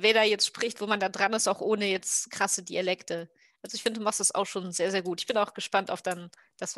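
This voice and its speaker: A woman's voice: medium pitch, a bit bright, no room sound. A woman chatting casually on a group video call, speaking in an encouraging way. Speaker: tabletop player. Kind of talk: casual chat, giving encouragement.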